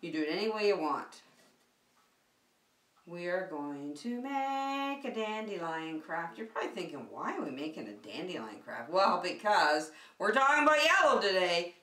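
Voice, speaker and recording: A woman's voice: on the low side, big pitch swings, a little slow, fairly bright tone. A middle-aged woman hosting a children's circle time and craft lesson, speaking in a sing-song, encouraging way with simple phrases. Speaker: preschool circle time teacher and host. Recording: echoey room, distant microphone.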